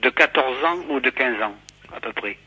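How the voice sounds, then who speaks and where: A middle-aged man from the south of France, a school caretaker, speaking politely and obligingly on the phone, repeating himself haltingly. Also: mid-range voice, bright, forceful tone, breathy edge, no echo, poor audio. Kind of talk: phone conversation.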